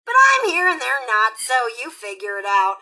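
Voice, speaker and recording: A woman's voice: high-pitched, wildly swooping pitch, normal speed, bright, forceful tone, heavily acted. A woman playing a sassy, erratic female raider robot who DJs on the radio in a video game, with a sardonic, theatrical delivery. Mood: flippant, bitter. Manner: sardonic, theatrical, erratic swings from muttering to shrieking.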